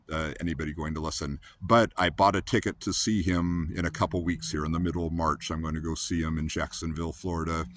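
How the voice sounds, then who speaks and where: A man in his fifties with a low, rumbly voice, hosting a rambling, earnest casual monologue full of restarts. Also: fairly bright tone, slight background noise. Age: 50s. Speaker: host.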